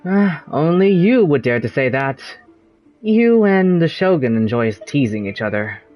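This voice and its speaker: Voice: mid-range voice, very animated, unhurried, lo-fi sound, faint music behind. An actor voicing a young traveling hero in a game cutscene, asking plain, curious questions.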